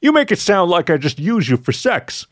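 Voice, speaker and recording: A man acts out a cheeky male fox in a narrated story. His voice sits on the higher side and swings about animatedly. He is playful and eager, quick to get defensive, with a hesitant stammer. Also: very animated, fairly bright tone, exaggerated voice. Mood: annoyed.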